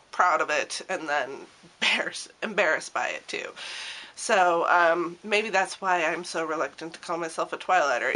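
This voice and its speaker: Young female vlogger, bright tone, pitched a touch low, sniffly cold, rambling with ums and restarts. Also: rough recording. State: stuffy with a cold. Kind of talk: casual talking-to-camera vlog.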